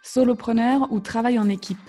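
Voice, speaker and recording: A woman's voice: on the high side, steady tone, moderate pace, mellow, soft background music. A woman in her thirties hosting a podcast, talking in a friendly, chatty way and speaking directly to the listener. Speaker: podcast host. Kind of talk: podcast hosting, casual interview.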